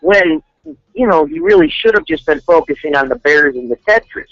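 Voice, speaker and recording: A man's voice: on the high side, expressive, normal speed, fairly bright tone, no room sound, soft background music. A man voicing a boastful, rambling male Russian composer with a Russian accent and a crude, swearing manner, heard over a dull phone line. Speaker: radio show guest.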